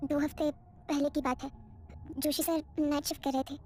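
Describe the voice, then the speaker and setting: A woman voicing an earnest female nurse who gives a witness account in an animated horror story. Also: high voice, moderate pace, slight background noise, pitched above the performer's own voice, close to an everyday voice. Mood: troubled recounting.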